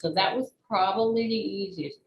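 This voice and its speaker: A woman's voice: medium pitch, moderate pace, muffled recording. A woman talking as an interview guest, an author explaining her work in careful detail. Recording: roomy echo, faint background noise.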